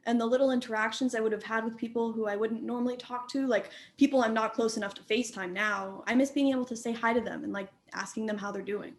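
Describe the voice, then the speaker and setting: A woman's voice: on the high side, fairly even intonation, fast, few pauses, natural voice. A woman playing a sociable, wistful schoolgirl talking casually in a documentary play. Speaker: student. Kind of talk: casual documentary theater monologue.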